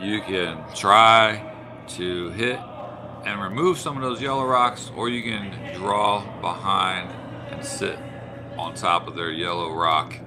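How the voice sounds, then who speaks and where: A man doing color commentary on a curling match, calmly explaining shot choices. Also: low voice, slow, over music. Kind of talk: sports commentary.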